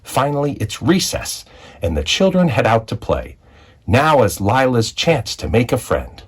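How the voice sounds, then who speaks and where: A man reading a children's picture book aloud as a storyteller, with expressive narration and deliberate dramatic phrasing. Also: mid-range voice, slightly rough. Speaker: read-aloud storyteller, school executive.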